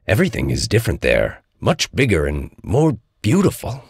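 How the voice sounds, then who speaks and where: A small storybook bear, played by a male reader, reassuring and sure of himself. The low voice is gentle and steady, with a husky edge. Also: moderate pace, no echo, clean studio sound, heavily acted. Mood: earnest. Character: calm, reassuring little bear, kindly and confident.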